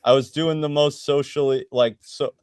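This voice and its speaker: A man speaks hesitantly on an online call, often restarting his sentences. His voice is smooth and clear, and the sound is dry. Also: a little high, fairly even intonation, normal speed, a little mellow, no room sound. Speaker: panel guest.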